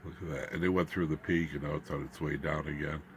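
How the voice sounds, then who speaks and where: A man giving an informal, unscripted electronics demonstration, haltingly, muttering asides as he works with his hands. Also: very deep voice, fairly even intonation, a little hiss or hum. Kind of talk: informal unscripted technical show-and-tell.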